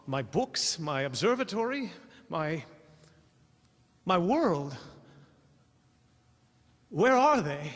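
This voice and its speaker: A man performs a lonely, haunted male professor in a radio play. He narrates solemnly and haltingly in a slightly high voice. Long hall reverb surrounds every phrase. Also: exaggerated voice. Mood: anguished questioning. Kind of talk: dramatic radio monologue.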